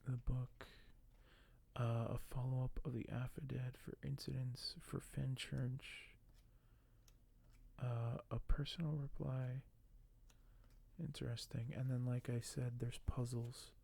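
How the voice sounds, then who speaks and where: A man speaking softly and gently for an ASMR video, sometimes close to a whisper, with a halting delivery as host. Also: mid-range voice, level tone, gravelly. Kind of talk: soft-spoken ASMR, partly whispered; reading aloud and commenting on collectibles.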